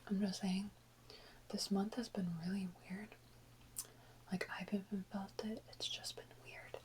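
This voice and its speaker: A woman whispering softly and close to the microphone in an informal, rambling ASMR chat with unhurried pauses. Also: mid-range voice, flat delivery. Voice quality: soft unvoiced whisper. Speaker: ASMR video creator. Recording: close whisper with faint background noise.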